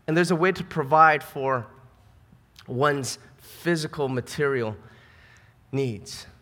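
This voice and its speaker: Bright-voiced American pastor preaching in a hall. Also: male voice, fairly high pitch, takes it slowly. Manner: earnest, conversational, with emphatic repetition. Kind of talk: sermon to a church congregation. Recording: reverberant hall sound.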